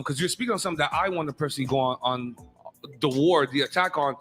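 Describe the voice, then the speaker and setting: Fast, bright-voiced joking man. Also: on the high side. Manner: quick, joking, teasing interjections. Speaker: podcast host. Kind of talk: casual podcast interview.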